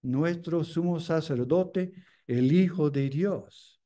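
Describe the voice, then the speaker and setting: A male preacher, a native English speaker, delivers a sermon with measured, unhurried phrasing. His voice sits a little high for a man but sounds mellow and soft-edged. He repeats key phrases as he builds each point.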